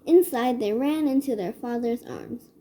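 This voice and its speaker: A woman reads a children's story aloud in a measured way. Her voice sits fairly high but has a dark, mellow tone. There is a faint noise behind her. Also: animated. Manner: measured read-aloud delivery. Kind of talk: scripted children's story narration.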